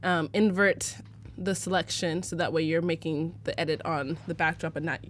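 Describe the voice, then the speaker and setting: A young woman from Arizona walks viewers through a photo edit on a live stream. She talks casually with lots of "like" and "um", often laughing. Her voice sits slightly low, with a faint hiss behind it. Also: level tone, moderate pace. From United States (Arizona). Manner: casual, chatty, fillers like "like" and "um", laughs easily. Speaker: livestream presenter teaching photo editing. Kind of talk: live software tutorial.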